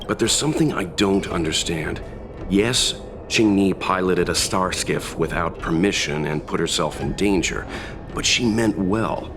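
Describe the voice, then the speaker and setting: A male actor playing a polite, reflective traveler with gentle dry humor in a video game. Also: low voice, a little slow. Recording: music behind the voice.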